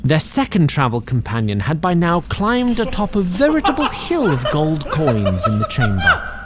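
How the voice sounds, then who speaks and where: A man giving scripted narration for a fantasy audio drama in a measured, theatrical storytelling manner. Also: on the high side, huge pitch swings. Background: music.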